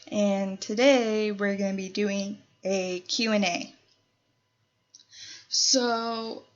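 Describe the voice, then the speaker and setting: A young woman of nineteen talks through a casual question-and-answer video, in an unhurried, rambling way full of "so" and "um". Her voice sits at an ordinary middle pitch. The recording is a little dull and lo-fi. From Colorado. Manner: chatty, rambling with many "so" and "um" fillers. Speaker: YouTuber. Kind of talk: casual Q&A vlog.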